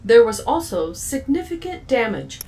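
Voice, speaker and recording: A woman's voice: fairly high pitch, sing-song, moderate pace, a little mellow, slight background noise. A woman playing a formal, glitchy female android in an audio drama, speaking in stilted, literal phrases that stutter and stick. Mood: glitching, formal. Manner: stilted, literal, stuttering on words like a malfunctioning machine.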